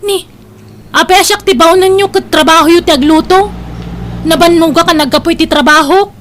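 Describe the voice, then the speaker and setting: A woman's voice: very high-pitched, moderate pace, a bit bright, slight background noise. A woman playing a spoiled, lazy, bossy daughter in her twenties in an Ilocano-accented audio drama, demanding and whiny. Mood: bossy, whining. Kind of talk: amateur radio-style Ilocano audio drama.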